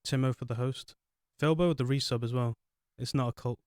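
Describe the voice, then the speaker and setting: A man hosting a casual podcast who talks in a loose, rambling way, filling pauses with "um." His voice is smooth and clear. The mic is close and dry, with no room sound. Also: medium pitch, fairly even intonation, darkish tone, no room sound. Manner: chatty, apologetic, rambling with fillers. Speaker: podcast host.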